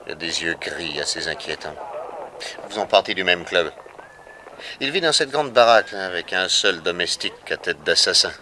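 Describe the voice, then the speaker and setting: Shrewd private detective, low, composed, probing; vintage radio serial with light noise. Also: male voice, lively intonation, moderate pace, pushed and bright. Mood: pensive, suspicious. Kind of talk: 1960s French radio serial acting. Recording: noisy vintage 1960s radio recording.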